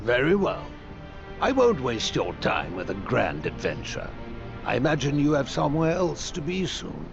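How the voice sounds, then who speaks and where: Game casting: shrewd dry archmaester, lively pitch movement, slightly muffled audio. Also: male voice. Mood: knowing, dry. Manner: dry, knowing, deliberate phrasing. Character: shrewd, blunt male archmaester, worldly scholar. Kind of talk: video game cutscene acting. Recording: dull muffled audio under clear music.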